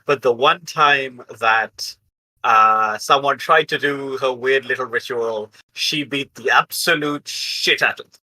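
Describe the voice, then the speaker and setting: A man telling an improvised story casually as a game player, haltingly piecing it together aloud. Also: mid-range voice, bright, forceful tone, poor audio.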